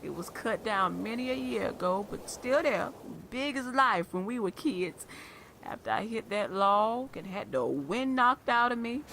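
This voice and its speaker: A woman's voice: mid-range voice, a bit bright, a little hiss or hum. A woman playing a folksy older country lady who wryly recounts her childhood in an audio drama. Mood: reminiscing. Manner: folksy, wry storytelling.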